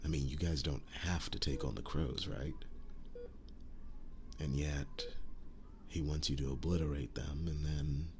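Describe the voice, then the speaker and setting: A man playing a protective, world-weary young werewolf boyfriend in an intimate ASMR roleplay, speaking in a breathy, halting hush. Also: steady tone, background noise. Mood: pensive, murmuring. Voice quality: breathy, hushed, very low voice. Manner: halting, slow, soft-spoken with long pauses.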